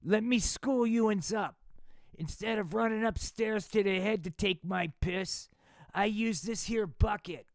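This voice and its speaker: A man voicing a lazy, crude, drunk middle-aged dad who blusters loudly. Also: high voice, unhurried, no room sound, voice pushed up. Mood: blustering.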